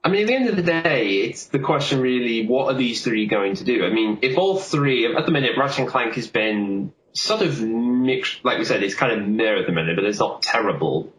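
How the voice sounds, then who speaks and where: A man's voice: mid-range voice, lively intonation, fairly quick, few pauses, a bit bright. A man in casual, rambling, chatty discussion, as co-host of a film podcast. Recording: roomy, echoey sound with faint noise.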